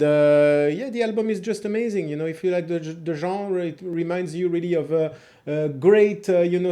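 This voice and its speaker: High-pitched French-accented man reviewing music. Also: mellow. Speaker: host of a music review video channel. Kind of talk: casual video review, talking to viewers.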